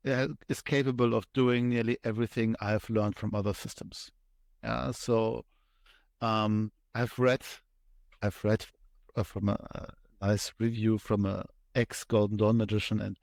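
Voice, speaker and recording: Slow-spoken man with a German accent. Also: lowish voice, creaky, no room sound. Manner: thoughtful, explains step by step with frequent pauses. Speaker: podcast guest. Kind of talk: conversational interview explanation.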